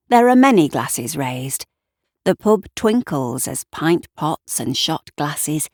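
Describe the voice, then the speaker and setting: A woman's voice: low-pitched, darkish tone, no room sound, clean studio sound. A woman narrating scripted fiction dramatically, with wry, conversational asides to the listener. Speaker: storyteller narrating audio fiction.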